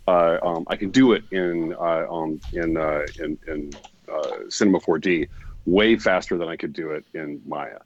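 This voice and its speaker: A man co-hosting a live stream, talking casually in halting sentences full of fillers. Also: low voice, lo-fi sound. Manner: halting, many fillers, easygoing. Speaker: co-host.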